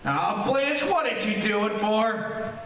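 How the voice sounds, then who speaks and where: A man's voice: sits high, animated, takes it slowly, fairly bright tone, a little hiss or hum, full character voice. A man playing an exasperated, sarcastic, bickering husband in old-time radio comedy style. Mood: exasperated, aggrieved. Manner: griping, sarcastic. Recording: very echoey hall, distant microphone.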